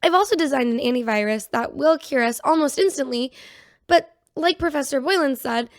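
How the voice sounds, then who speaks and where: An actress playing an eager scientist doctor in a sci-fi radio drama, earnestly explaining. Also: female voice, sits high, expressive, fairly quick, dry recording, studio quality. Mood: eager, nervous.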